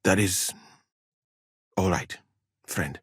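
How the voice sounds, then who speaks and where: A courteous, scholarly man of the medieval world. His voice is fairly low and very husky, with formal, measured phrasing. The recording is dry and close, as game dialogue is. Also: no room sound, exaggerated voice. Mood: composed, courteous. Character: learned, courteous medieval man from Mali, well-travelled scholar. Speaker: video game character.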